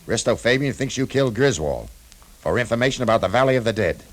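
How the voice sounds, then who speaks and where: An actor playing a tough, shrewd cafe owner turned detective in a 1950s radio drama, terse and hard-boiled. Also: male voice, medium pitch, expressive, quick pace, close to an everyday voice. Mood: blunt, urgent.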